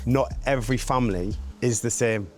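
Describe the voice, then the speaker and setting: A man's voice: medium pitch, darkish tone, faint music behind. Geordie male presenter, 30s, candid, emotional, teasing. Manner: candid, emotional, quick to tease. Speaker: reality TV presenter and narrator. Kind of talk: reflective narration and casual chat.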